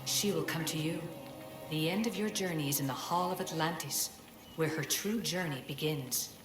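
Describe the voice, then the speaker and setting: Casting: woman to play an ancient oracle-like game spirit, low-pitched, measured and commanding, heard in echo. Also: natural voice. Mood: cryptic, instructing. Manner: solemn, measured, oracular. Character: ancient female spirit in a staff, cryptic and commanding. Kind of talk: video game cinematic acting. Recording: echoey voice over loud background music.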